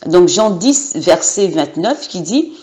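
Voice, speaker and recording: A woman's voice: on the low side, big pitch swings, slow, a little mellow. A woman from Gabon in her thirties giving an unscripted personal testimony, hesitant, with many fillers and repeated words.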